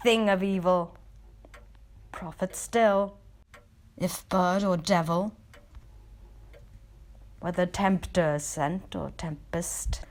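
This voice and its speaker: A woman playing a despairing, grieving scholar in a posh British accent, theatrical and impassioned in a dramatic poem reading. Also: lowish voice. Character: grief-stricken, despairing scholar raging at an ominous bird.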